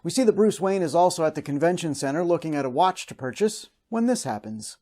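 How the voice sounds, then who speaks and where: Animated man hosting a comedic recap. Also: a little high, quick pace, slight background noise. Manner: expressive, nitpicking, mock-exasperated. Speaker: host of a cartoon recap show. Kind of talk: scripted comedic recap narration and banter.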